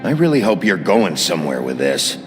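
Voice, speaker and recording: An actor playing a gruff, tough sheriff who talks in a blunt, curt, impatient way, in a game. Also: male voice, low voice, sing-song, brisk, faint music behind. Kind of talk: video game voice acting. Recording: game audio over loud score.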